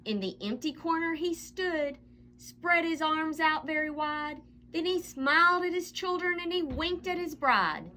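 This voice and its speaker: Bright, high-pitched woman reading a storybook. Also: slight background noise. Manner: expressive, dramatic storytelling with drawn-out emphasis. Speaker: read-aloud storyteller. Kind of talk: children's picture book read aloud.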